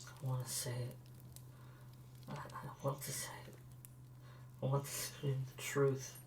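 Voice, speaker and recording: Slow, even-voiced earnest young man played by a man. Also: medium pitch, close to an everyday voice. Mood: anguished. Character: earnest grieving young man, guarded, quietly supportive. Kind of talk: fan audio drama acting. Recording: faint hum behind the voice.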